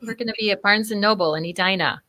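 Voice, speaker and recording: A woman's voice: mid-range voice, fast talker, sharp tone, low-quality recording. A woman chatting casually as a guest in a radio studio, upbeat and chatty. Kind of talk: casual chat.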